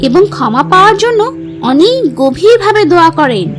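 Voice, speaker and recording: Very high-voiced woman storyteller over music. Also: big pitch swings, fairly quick. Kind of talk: scripted children's religious story narration.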